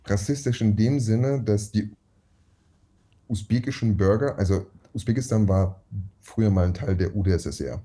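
A man's voice: lowish voice, normal speed, dark tone. A man from Uzbekistan whose first language is Russian, telling stories as a guest in a casual interview in slangy, emphatic speech. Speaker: actor guest.

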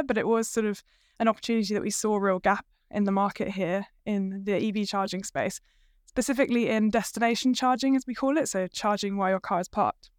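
A woman from the UK speaks with a British accent in an easygoing, rambling way, full of fillers and soft hedges. Her voice is smooth and clear. It is recorded dry and close. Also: on the high side, no room sound. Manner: chatty, many fillers and hedges. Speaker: guest, company co-founder. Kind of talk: casual interview talk.

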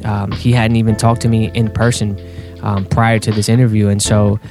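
A man hosting a faith podcast, talking earnestly and a little haltingly with fillers and restarts. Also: on the low side, little pitch movement. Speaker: podcast host. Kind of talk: casual podcast intro. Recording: clear guitar music underneath.

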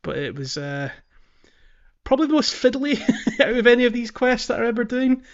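A man's voice: very high voice, very animated, brisk, highs cut off. A man co-hosting a gaming podcast in casual chat, recapping things in a chatty, descriptive way. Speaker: podcast host.

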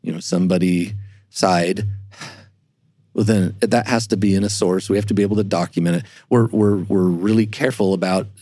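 Deep-voiced man explaining things carefully. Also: fairly even intonation, warm tone, no room sound, clean studio sound. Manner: halting, repeats words, measured and careful. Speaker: church historian, interview guest. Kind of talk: explanatory discussion.

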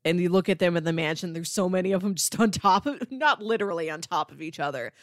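A woman's voice: fairly low pitch, quick pace, dry recording. Woman chatting casually, close dry sound. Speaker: comics podcast host. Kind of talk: casual chat about comics.

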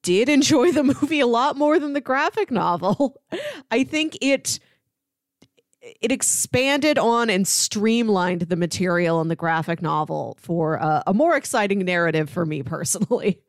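A woman's voice: mid-range voice, lively intonation, a little slow, no room sound, studio quality. A young adult woman co-hosting a podcast, giving her opinions in casual but articulate conversation. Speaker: podcast co-host.

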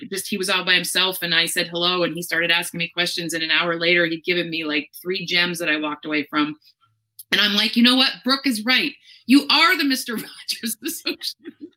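A chatty woman hosts a relaxed interview, full of enthusiasm and little fillers. Her voice is bright and forward. She talks over a video call. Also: lowish voice, moderate pace, rough recording. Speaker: interviewer and show host. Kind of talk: casual conversational interview.

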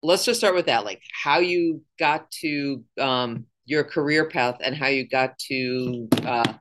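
A middle-aged host interviewing a guest, friendly and relaxed with hesitant fillers. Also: mid-range voice, measured pace. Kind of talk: casual interview.

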